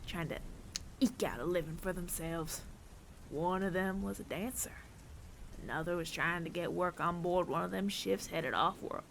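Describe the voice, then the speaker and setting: A man playing a menacing, world-weary bounty hunter of androids in an ASMR audio roleplay, taunting the listener with deliberate pauses and often sinking to a near whisper. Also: high-pitched, a bit bright, slight background noise. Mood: wry, unhurried. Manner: slow, deliberate, taunting, with long dramatic pauses.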